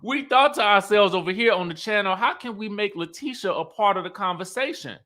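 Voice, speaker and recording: A man's voice: high voice, brisk, noisy background. A man hosting an online review show, chatting casually and emphatically to his viewers and often checking in with them. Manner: animated, conversational, emphatic, often checking in with listeners. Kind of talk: casual livestream commentary to viewers.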